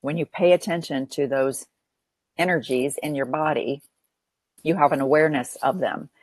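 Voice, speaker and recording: Woman, counselor, interview guest, low pitch, earnest, repeats phrases, dry close sound. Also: fairly even intonation, moderate pace, no echo. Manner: earnest, repeats phrases while building a point. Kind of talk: podcast interview.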